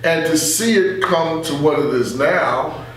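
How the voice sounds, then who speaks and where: An older man reflecting in a casual interview as a veteran radio guest, animated and repeating phrases for emphasis. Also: on the high side, a little slow, far from the mic. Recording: very echoey room, off-mic, faint hum.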